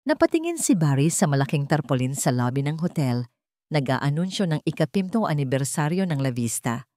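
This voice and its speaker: Filipino woman narrating drama, low-pitched, dry sound. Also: very animated, a little fast, dark tone, no room sound. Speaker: story narrator. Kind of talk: scripted dramatic narration of a romance drama, voicing the dialogue.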